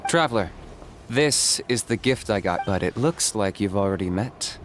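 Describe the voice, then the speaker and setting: A man voicing a calm, terse young warrior in a video game, speaking bluntly and matter-of-factly. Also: on the low side, background noise, lightly acted. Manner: unhurried, blunt, matter-of-fact. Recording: game music under the voice.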